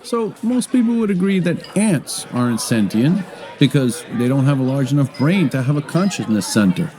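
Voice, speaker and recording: A man's voice: medium pitch, sing-song, moderate pace, mellow, a faint crowd, lightly acted. A man acting in an audio drama as a brash, argumentative friend who debates in a glib, provocative, conversational way. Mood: teasing debate. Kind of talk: naturalistic audio drama dialogue.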